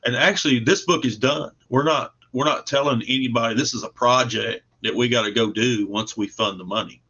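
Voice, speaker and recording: A man with a mid-pitched voice talks casually as a guest comic creator. The audio is dull and lo-fi, and he explains his own project in an informal, conversational way. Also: brisk, pushed and bright.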